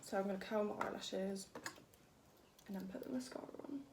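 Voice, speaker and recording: A young woman with a croaky voice, chatting casually through a makeup tutorial and making fun of herself as she works. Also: mid-range voice, fairly even intonation, brisk. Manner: chatty, self-deprecating, talks while working. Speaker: beauty vlogger. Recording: echoey room with faint noise.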